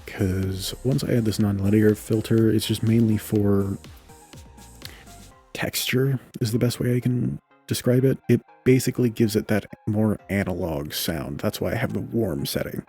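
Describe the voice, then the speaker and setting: A young man with a low voice giving a relaxed, casual tutorial on audio mixing, thinking aloud and correcting himself as he goes. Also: vocal fry, breathy edge. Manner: relaxed, thinks aloud with self-corrections and filler "you know". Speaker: podcaster explaining audio mixing. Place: home room with a fan running. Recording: home studio mic with quiet fan noise and faint music.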